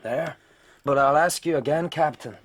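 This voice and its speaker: An actor playing a bold, defiant young gang member in an audio drama, who can turn tender. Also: male voice, fairly high pitch, normal speed, husky. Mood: defiant, cool.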